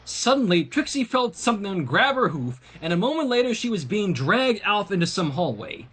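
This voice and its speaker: A man reading a story aloud in an expressive narrating style, with casual asides. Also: sits high, normal speed, husky. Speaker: fan fiction reader.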